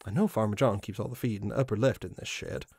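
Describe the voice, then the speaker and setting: A man's voice: lowish voice, fast, creaky, darkish tone, husky, dry recording, studio quality. A man playing a proud, mischievous living scarecrow who guards a farm, musing in a theatrical, pompous way in an audio drama monologue. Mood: proud, scheming. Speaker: voice actor.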